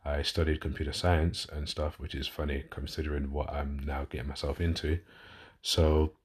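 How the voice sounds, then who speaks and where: Thirties London man, podcast host, very low flat delivery, loose and rambling. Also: normal speed. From London, England. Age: 30s. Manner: rambling, self-correcting, frequent fillers. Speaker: podcast host and fitness coach. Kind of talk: casual personal monologue.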